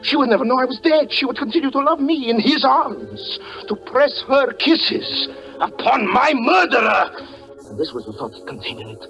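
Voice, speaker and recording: An actor playing a tormented, brooding stage magician in a 1940s radio suspense drama, intense and theatrical with halting dramatic pauses. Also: male voice, high-pitched, full character voice. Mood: rising horror. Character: tormented stage magician confessing jealous obsession, anguished and brooding. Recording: muffled 1940s radio broadcast with music.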